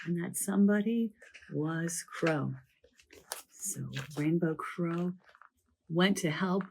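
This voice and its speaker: A croaky woman with a summer cold, a children's librarian presenting a library program and telling the stories from each book in a chatty, lively way. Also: lowish voice, a little hiss or hum. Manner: chatty, lively storytelling delivery.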